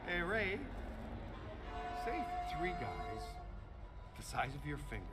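An actor playing a wry middle-aged investigator in a video game, joking in a sardonic, deadpan way. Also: male voice, mid-range voice, slow pace. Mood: dry, sarcastic. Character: wry, flippant middle-aged male paranormal investigator scientist. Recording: loud game music behind.

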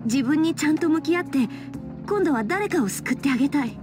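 A woman's voice: high voice, animated, a little fast, music in the background. An actress playing a sweet, earnest teenage girl in love in a game romance, intimate and girlish. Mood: tender, earnest. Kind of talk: Japanese game romance acting.

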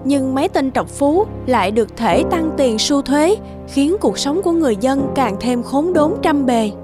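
A woman narrating an animated folk tale in a scripted storybook style. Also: high-pitched, moderate pace. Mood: storytelling. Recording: background music under the voice.

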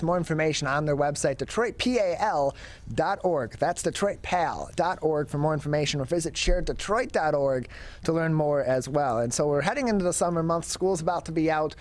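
A man's voice: on the high side, fairly quick. A young man hosting a broadcast interview show, speaking into a studio microphone. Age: young adult. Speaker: show host. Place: TV studio with curtain backdrop. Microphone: studio mic on a boom arm.

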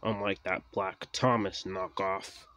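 A man's voice: deep voice, level tone, slow, fairly bright tone, slight background noise. A man voicing a devious, grudging male diesel engine who grumbles, in an amateur children's story. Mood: snide.

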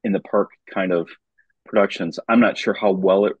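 A man's voice: sits low, steady tone, moderate pace, husky, dry recording, highs cut off. An older man, a retired voice professor, chatting casually as an interview guest.

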